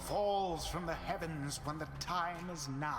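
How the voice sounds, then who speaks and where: A man playing a scornful judge of the rites, slow and stately, pitched a little high for a man. His disdainful words ring out in a long, echoing hall. Loud game music plays behind him. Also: close to an everyday voice. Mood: solemn. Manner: solemn, disdainful, slow and grand. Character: stern, scornful male judge of ancient rites. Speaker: game narrator. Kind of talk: video game voice acting.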